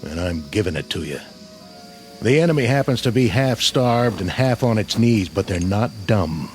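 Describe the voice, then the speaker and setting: An actor playing a battle-hardened, skeptical army sergeant in a radio drama, plain-spoken, folksy and wry. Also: male voice, fairly low pitch, animated, normal speed, faint music behind, natural voice. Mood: blunt, dry.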